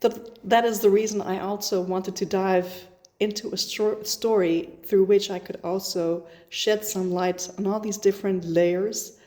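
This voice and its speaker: Soft-toned woman, reflective and searching. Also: medium pitch, fairly even intonation, a little slow. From Iran, raised in the Netherlands. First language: Dutch. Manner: thoughtful, with many restarts and fillers. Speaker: author. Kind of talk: casual self-introduction to camera.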